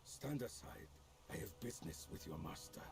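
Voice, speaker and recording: A man voicing a grave, determined warrior in a fantasy game, speaking in a hushed, solemn, measured way. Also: on the low side, expressive, gravelly, faint music behind, voice pushed down. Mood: stern. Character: grave, determined male warrior urging a companion onward.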